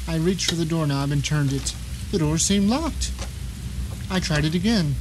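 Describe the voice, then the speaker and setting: A man's voice: fairly high pitch, normal speed, lightly acted. A man playing a bitter, paranoid blind novelist who narrates his nightmare in a wry, grumbling, theatrical way for an audio drama. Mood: confused, irritated. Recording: noticeable rain and ambient noise behind.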